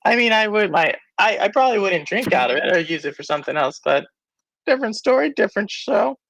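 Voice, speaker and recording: Lively, chatty woman hosting a stream. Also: medium pitch, quick pace, highs cut off, a little hiss or hum. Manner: chatty, laughs often, addresses the chat. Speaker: podcast host. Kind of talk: casual live-stream chat.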